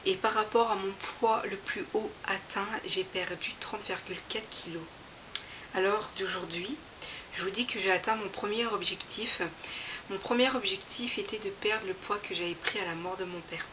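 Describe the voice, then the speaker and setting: A woman's voice: mid-range voice, normal speed. Chatty woman vlogging over a hissy recording. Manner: chatty, reassuring, many fillers and restarts. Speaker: vlogger sharing her weight-loss progress. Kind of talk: casual direct-to-camera vlog. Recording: audible background hiss.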